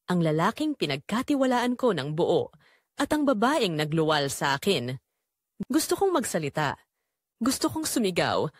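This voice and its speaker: A woman's voice: on the low side, no room sound, studio quality. A woman with a Filipino accent narrating a scripted first-person drama in an emotional, theatrical way.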